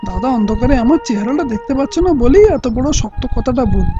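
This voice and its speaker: A man as a wry, high-voiced wandering singer. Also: sing-song, fast, mellow, faint music behind. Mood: wry, protesting. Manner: wry, wordy. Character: witty, wry wandering singer, reluctantly conscripted. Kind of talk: theatrical radio-play acting. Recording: muffled lo-fi audio-play recording.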